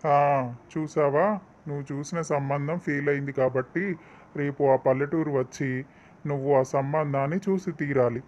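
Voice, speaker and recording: A man plays a middle-aged family man in a cartoon tale, in a dull, faintly noisy recording. His voice sits a bit high, and he speaks persuasively with a wry, teasing edge. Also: fairly even intonation, normal speed, a little mellow, close to an everyday voice. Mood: insistent. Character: middle-aged husband and father, reasonable, teasing traditionalist. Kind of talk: cartoon moral story voice acting. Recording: dull lo-fi, slightly noisy track.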